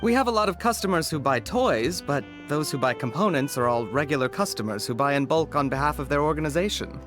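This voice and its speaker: A man's voice: on the high side, expressive, quick pace, music in the background, natural voice. A man playing a polite, cooperative clockwork shopkeeper in a video game, with courteous customer-service speech. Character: polite male clockwork toy shopkeeper, cooperative, slightly puzzled.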